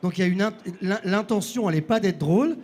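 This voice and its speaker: A man's voice: high voice, fast talker, a little mellow. A man with an accent from France, conducting a casual interview, often rephrasing and checking that he has understood. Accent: French from France.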